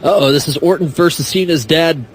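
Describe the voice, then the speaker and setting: A man's voice: fairly high pitch, brisk. A man co-hosting a podcast, chatting casually and joking with loose, profane language. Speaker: podcast co-host. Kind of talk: casual wrestling chat.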